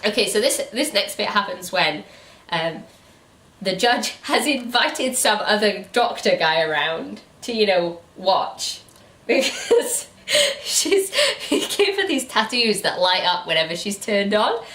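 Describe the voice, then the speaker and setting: A woman hosting a casual book review, chatting in an animated, incredulous and informal way. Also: mid-range voice, a little slow. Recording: roomy, echoey room sound.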